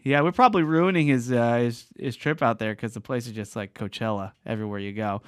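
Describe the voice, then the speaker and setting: A man's voice: medium pitch, fairly quick, smooth, no echo. A man co-hosting a casual podcast, playful and joking as he tells a story. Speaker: podcast co-host. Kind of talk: casual chat.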